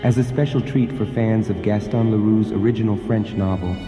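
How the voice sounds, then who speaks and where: A man announcing a preview in a dramatic, enticing trailer voice-over. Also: fairly low pitch. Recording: echoey hall with music bed.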